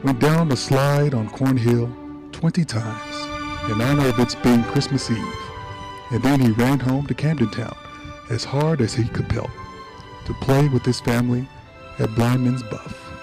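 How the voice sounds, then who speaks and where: A male actor as a radio drama narrator with a mid-pitched voice. He tells an old story in measured, scripted phrases, with some music behind him. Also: lightly acted, rough recording.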